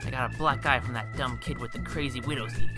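A man voicing melodramatic, excitable teenage slacker boys in an amateur animated comedy. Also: medium pitch, fast talker, over loud music, close to an everyday voice. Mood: casual. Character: excitable fourteen-year-old cartoon slacker boys and their friend.

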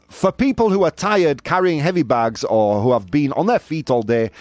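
A man's voice: medium pitch, dry recording. A man hosting a casual live talk show, jokey and incredulous as he reacts to listener comments. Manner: animated, jokey, incredulous, punctuates talk with "dude".

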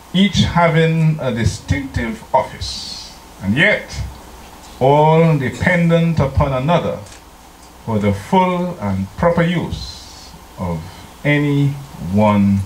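An older male pastor from Trinidad preaches at an unhurried, deliberate pace, his mid-pitched voice carried by a handheld microphone. The church room around him rings with echo, and a faint hiss of ambience sits under his words. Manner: slow, deliberate preaching that breaks into sung hymn lines. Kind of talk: sermon. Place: church sanctuary.

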